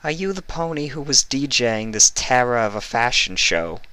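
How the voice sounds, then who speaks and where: A man voicing a brisk, smooth-talking female pony talent scout with chummy, salesy patter in a story reading. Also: medium pitch, animated, bright, forceful tone, no echo, pitched above the performer's own voice. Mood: eager. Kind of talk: fan fiction character reading.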